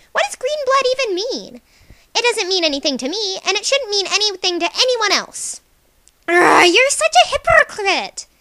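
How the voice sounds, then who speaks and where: A woman's voice: extremely high pitch, sharp tone, exaggerated voice. A woman voicing a playful, stubborn young cat-like alien girl, pouty and insistent, in a character reel for a webcomic. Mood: defiant, playful.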